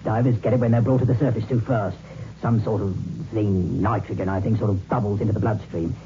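An actor playing an impatient sceptic who is scornful of superstition, brusque and lecturing, in 1960s radio drama style. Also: male voice, fairly low pitch, fairly even intonation, brisk, warm tone, soft background music, close to an everyday voice. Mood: dismissive, insistent. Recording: muffled, hissy old radio recording.

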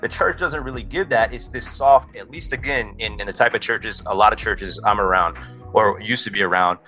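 A man giving his opinions in a casual, slangy podcast conversation, animated and emphatic, often saying "bro". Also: lowish voice, normal speed, faint music behind, low-quality recording. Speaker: podcast guest.

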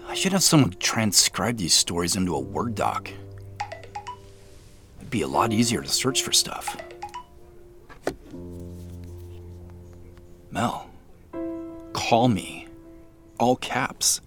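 A man's voice: fairly low pitch, sing-song, slow pace, pauses often, over music, exaggerated voice. A man playing a casual, probing detective in a naturalistic audio drama, dry and inquisitive. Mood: relaxed, playful. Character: dry, inquisitive male detective working a case.

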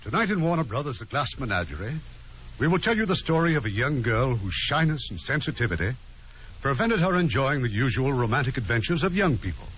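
A man's voice: medium pitch, very animated, brisk, slight background noise. A man giving a formal, scripted introduction as host of a 1950s radio theater broadcast. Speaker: producer and host. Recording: muffled old radio broadcast, faint music.

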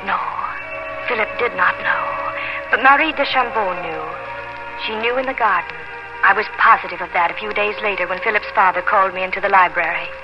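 A sincere young heroine, played by an actress narrates in a mid-pitched voice, wistful and composed. The style is 1950s radio drama, with prominent music and a dull, narrow sound. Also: female voice, brisk, natural voice. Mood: heartbroken. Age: young adult. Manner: wistful, composed, literary phrasing. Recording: band-limited 1950s radio, loud music.

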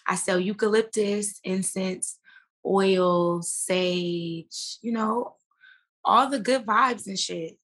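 A woman's voice: mid-range voice, takes it slowly, a bit bright. A woman speaking as a podcast guest in casual chat, with an informal, slangy way of talking. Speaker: podcast guest, actress and business owner.

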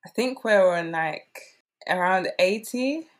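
A woman's voice: mid-range voice, animated, slow. A woman, telling stories casually as a podcast guest and peppering her speech with "like". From England. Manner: chatty, peppered with "like". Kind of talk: casual conversational storytelling.

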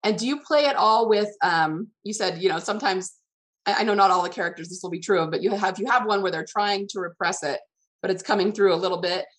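A woman's voice: mid-range voice, fast talker. A woman hosting a conversational interview, responding in an encouraging, affirming way and asking follow-up questions. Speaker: podcast host, interviewer.

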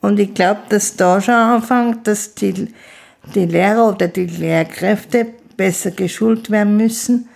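A woman in her fifties, a guest in an interview, with a gravelly, creaky voice at a middle pitch. She speaks slowly and haltingly and often restarts her sentences. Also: a little mellow. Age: 50s. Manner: slow, halting speech with restarts. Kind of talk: casual conversation.

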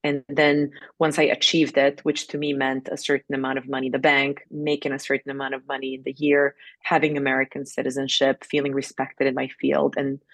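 A woman's voice: very deep voice, monotone, fairly quick, hardly any pauses, no echo. A woman in her forties from Serbia, a life coach answering interview questions in an open, personal way. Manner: open and personal, with fillers and restarts.

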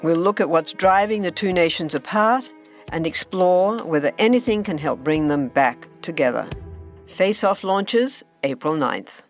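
A woman's voice: lowish voice, lively intonation, measured pace. Lady journalist doing a scripted podcast trailer, music playing behind her. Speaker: foreign correspondent and podcast host. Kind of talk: scripted podcast promo narration.

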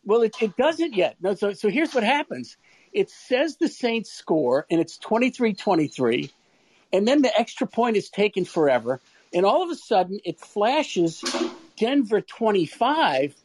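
Very lively, swooping man telling a story. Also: high-pitched, unhurried, no room sound. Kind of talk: casual anecdote telling.

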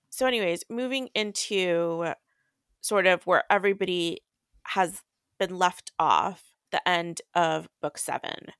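A woman's voice: on the low side, fairly bright tone, clean tone, no echo, studio quality. A woman co-hosting a podcast, casually discussing and recapping books. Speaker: podcast co-host.

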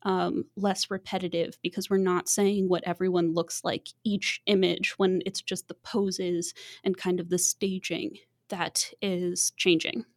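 A woman's voice: lowish voice, flat delivery, measured pace. A woman co-hosting a podcast, chatting casually with frequent ums. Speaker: podcast co-host.

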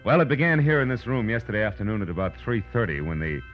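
A hard-boiled private eye speaks in a low voice with clipped, wisecracking world-weariness. It is vintage radio detective drama, dull in fidelity, with faint music underneath. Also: male voice, very animated, fast. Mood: distracted, matter-of-fact. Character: hard-boiled, wry male private detective, narrating his own case. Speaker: lead radio actor.

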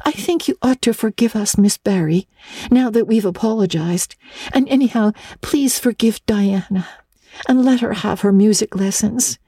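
An earnest little orphan girl from a storybook, played by a woman, pitched very high and husky-edged. She pleads politely and eagerly, tripping over her words in a breathless, imaginative flow. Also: normal speed, mellow, no room sound, studio quality, natural voice. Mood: earnest pleading. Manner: breathless, stammering, talkative, earnest.

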